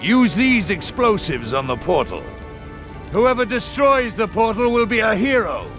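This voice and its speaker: An actor playing a hateful, gruff human military commander who barks domineering orders in a fantasy game. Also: male voice, high voice, sing-song, measured pace, fairly bright tone, soft background music, exaggerated voice. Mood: angry commanding. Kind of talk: dramatic fantasy game acting.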